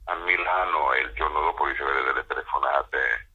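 A man with a gravelly, husky voice answering as an interview guest, explaining haltingly and emphatically with drawn-out words. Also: very deep voice, muffled recording. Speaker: interview guest, actor and director. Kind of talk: conversational interview.

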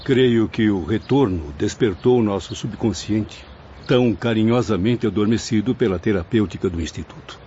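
Man as formal, lowish-voiced professor spirit. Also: mellow, slight background noise, close to an everyday voice. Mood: earnest. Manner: formal, measured wording. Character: Belarmino, learned professor's spirit, formal, loyal friend. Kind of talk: radio drama acting. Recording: muffled lo-fi, faint background ambience.

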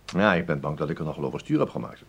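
A man's voice: a bass voice, sing-song, racing, gravelly, a bit bright, breathy edge, full character voice. A man acting a mild, apologetic police investigator in a radio drama, speaking politely and hesitantly. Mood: hesitant, probing. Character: mild, apologetic male police investigator asking probing questions.

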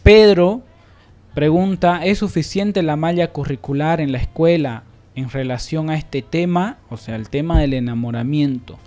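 Higher-pitched man hosting radio. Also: moderate pace, dark tone. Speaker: radio host. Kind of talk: reading listener comments, introducing a guest.

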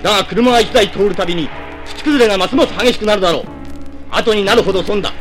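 A man's voice: sits high, quick pace, faint music behind, natural voice. A man playing a sly, scheming rival stunt racer in a 1960s cartoon audio drama. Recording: old flexi disc recording.

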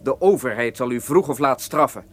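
A man's voice: medium pitch, lively intonation, normal speed, slight background noise, close to an everyday voice. An actor playing a righteous, defiant nobleman and protective brother, declaiming solemnly in an old-fashioned radio drama. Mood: indignant, defiant.

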